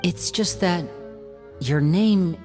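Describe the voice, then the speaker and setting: An actor playing a protective, smooth-talking young brother in a video game, theatrical in manner. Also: male voice, high voice, takes it slowly, in a large hall, soft background music. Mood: calm, careful.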